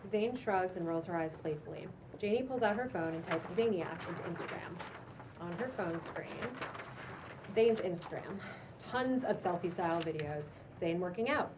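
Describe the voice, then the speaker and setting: A woman with a fairly low voice narrates screenplay action as a table read. Her scripted narration sits in clearly audible background noise. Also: normal speed, distant mic. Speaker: stage direction reader. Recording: noisy room recording.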